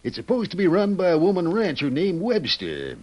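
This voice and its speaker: A man's voice: high voice, huge pitch swings, fairly quick, muffled recording, exaggerated voice. A man playing a grizzled, folksy Old West sheriff with an in a radio drama. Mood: folksy, candid. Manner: folksy, plain-spoken. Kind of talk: old-time radio Western acting.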